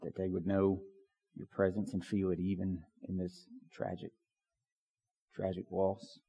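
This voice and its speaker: Hoarse pastor in a reverberant church. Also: male voice, low-pitched, monotone, measured pace, dark tone, lo-fi sound. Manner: filler-heavy, restarts often. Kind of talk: prayer and sermon. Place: church sanctuary.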